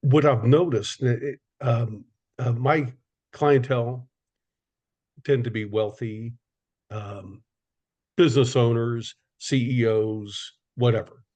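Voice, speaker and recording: A man's voice: medium pitch, fairly even intonation, takes it slowly, long pauses, darkish tone. A man hosting a podcast, speaking casually with frequent stuttered repetitions. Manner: stuttering speech with repetitions, conversational. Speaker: podcast host, business owner. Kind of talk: casual conversation.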